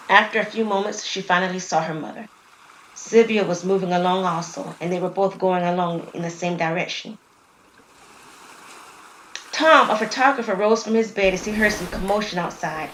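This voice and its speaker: A woman narrating a dramatic scripted story in a deliberate, theatrical way. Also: on the low side. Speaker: storyteller, writer and performer. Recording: roomy echo, faint background noise.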